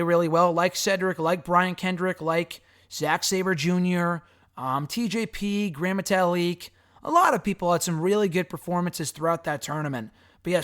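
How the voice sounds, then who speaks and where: A man with a hoarse, raspy voice hosting a wrestling show, answering fan questions in a rambling, frank and casual way. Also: sits high. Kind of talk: casual solo commentary.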